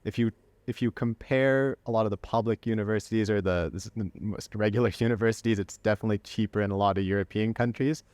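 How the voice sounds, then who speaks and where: A man hosting a casual conversational interview, thinking aloud in a halting way. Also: lowish voice, steady tone, a little mellow, no room sound. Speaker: podcast host.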